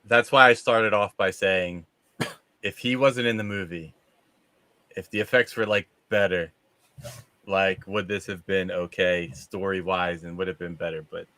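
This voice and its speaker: A man's voice: low voice, fairly even intonation, unhurried, sharp tone, no echo. A man hosting a casual live movie discussion, talking in an informal, rambling, conversational way. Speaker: show host.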